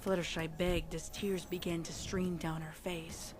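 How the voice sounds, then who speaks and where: A woman's voice: fairly low pitch, level tone, unhurried. A woman giving a scripted, dramatic narration of a story. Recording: loud music behind voice. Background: music.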